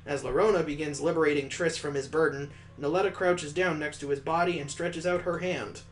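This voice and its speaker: A man's voice: a little high, steady tone, brisk, soft background music. A man hosting a gaming video, narrating a visual novel with improvised asides as he reads aloud.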